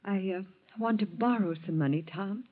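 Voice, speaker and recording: A woman's voice: mid-range voice, normal speed, close to an everyday voice. An actress playing a timid middle-aged wife and mother, beseeching and hesitant, in melodramatic 1940s radio style. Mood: nervous, pleading. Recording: dull vintage 1940s radio recording.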